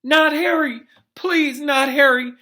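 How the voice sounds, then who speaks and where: Storybook role: desperate mother, played by a man, high-pitched, loud, anguished screaming pleas. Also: takes it slowly, heavily acted. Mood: anguished, pleading. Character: desperate young mother pleading for her child's life.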